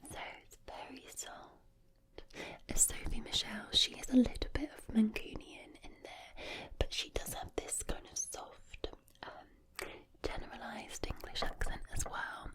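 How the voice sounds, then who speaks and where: Slow, whispering woman doing ASMR. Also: on the high side, fairly even intonation. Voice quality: breathy whisper. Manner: slow, soothing, deliberate. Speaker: ASMR creator.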